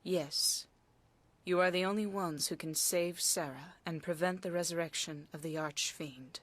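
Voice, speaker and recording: An actress playing a grave elven fortune teller in a video game, speaking in a stately, portentous and formal manner. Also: female voice, lowish voice, measured pace, no room sound. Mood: grave, urgent. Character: solemn elven fortune teller, grave adult woman prophetess. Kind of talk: dramatic game cutscene acting.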